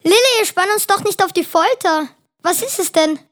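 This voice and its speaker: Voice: very high voice, animated, normal speed, a bit bright. A child of about ten acting an impatient, daring young sibling eager for adventure in an amateur children's radio play.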